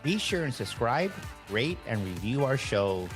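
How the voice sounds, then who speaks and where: A man's voice: mid-range voice, sing-song, unhurried. A man reading the scripted outro of a podcast in an upbeat, announcer-like way. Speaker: podcast host. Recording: read over music.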